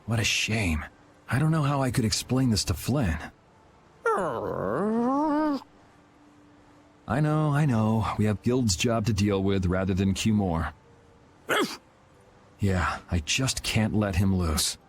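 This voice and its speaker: An actor playing a cool, wry young guild vigilante in a video game, speaking in a dry, casual, sardonic way. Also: male voice, lowish voice, lively intonation, a little slow, slight background noise. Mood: brooding. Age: young adult.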